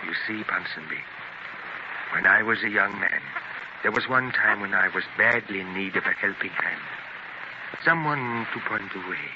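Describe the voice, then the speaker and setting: A man acting in a 1930s radio drama as a proud, ailing, half-blind newspaper publisher, speaking forcefully and emphatically. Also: sits low, animated, normal speed, muffled recording. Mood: earnest, idealistic. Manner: declamatory, emphatic. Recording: scratchy, noisy 1930s radio transcription.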